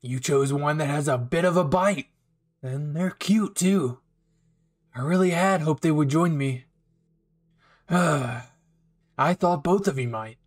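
A man playing a bitter, unhinged young villain in an anime roleplay, taunting and menacing, with dramatic pauses. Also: a little high. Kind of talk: anime fan ASMR roleplay acting.

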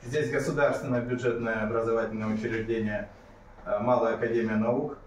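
A man's voice: mid-range voice, little pitch movement, fairly quick. A middle-aged man making an amateur radio call as the club's operator. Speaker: radio club operator and leader. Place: small school radio room, indoors. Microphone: picked up by camera in the room. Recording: echoey room, faint noise.

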